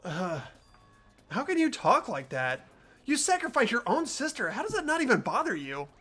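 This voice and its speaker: An earnest, disbelieving young student played by a woman with a husky, very animated voice. The game dialogue sits over music. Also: fairly high pitch, moderate pace, heavily acted. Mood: appalled. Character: earnest, appalled young student challenging a killer. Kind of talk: amateur voice acting of a video game.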